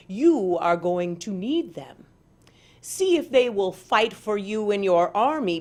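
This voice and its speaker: A woman voicing a small, indignant male armadillo who speaks in formal, deliberate phrases and stands his ground in a storybook tale. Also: mid-range voice, a little mellow.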